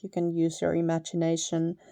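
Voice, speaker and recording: A woman's voice: deep voice, brisk, creaky, no echo, clean studio sound. A woman from Finland with a Finnish accent, chatting casually through a paper-crafting tutorial, correcting herself now and then. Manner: relaxed, chatty, laughs lightly, self-correcting. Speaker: paper crafter, channel host.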